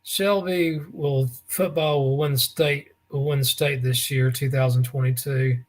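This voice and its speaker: A man with a raspy voice, co-hosting a casual sports talk show and telling stories with lots of restarts and fillers. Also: medium pitch, fairly even intonation, unhurried. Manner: rambling storyteller, many restarts and fillers. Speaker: co-host.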